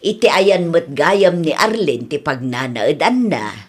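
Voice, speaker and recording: A woman's voice: low-pitched, expressive, a little slow, slightly rough, exaggerated voice. A woman acting a caring, practical family member who coaxes an old father, in an Ilocano-accented radio drama. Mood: concerned.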